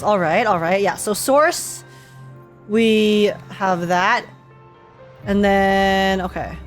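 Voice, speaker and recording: A young woman streaming a game with casual, excitable, slangy live commentary. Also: mid-range voice. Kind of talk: casual live reaction commentary over gameplay. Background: faint game music.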